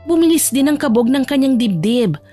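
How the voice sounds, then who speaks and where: A woman's voice: high-pitched, expressive, normal speed, mellow, soft background music. A woman narrating a romance story in a scripted audiobook style.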